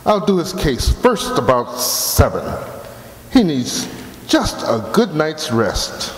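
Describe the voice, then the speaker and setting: A man's voice: a little high, sing-song, slow. Man acting a breezy, wildly sing-song doctor. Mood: blithe. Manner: breezy, glib, reassuring. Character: breezy, blithely reassuring male surgeon. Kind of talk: old-time radio comedy acting. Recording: echoey hall, long reverb, background noise.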